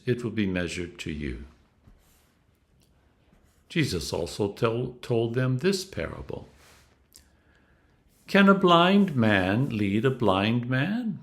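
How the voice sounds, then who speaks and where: A man reading scripture aloud as a clergyman, in a solemn, deliberate style with emphatic stresses. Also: mid-range voice, big pitch swings.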